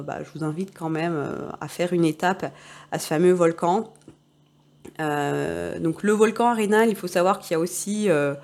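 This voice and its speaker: A woman giving casual travel advice, pausing often with filler sounds. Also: medium pitch, darkish tone. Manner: hesitant, many filler sounds. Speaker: travel advisor.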